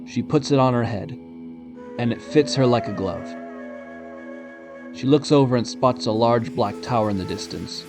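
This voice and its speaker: A man narrating an audio drama from a script in a storytelling style. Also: medium pitch.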